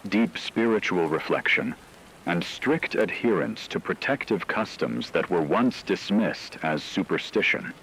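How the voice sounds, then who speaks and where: A man playing a deep-voiced, ominous radio newsman. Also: a bit bright, slight background noise, full character voice, low-quality recording. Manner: solemn, ominous, formal. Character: grave male radio newsman reporting supernatural terror. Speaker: radio news reporter. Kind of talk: scripted dramatic radio news narration.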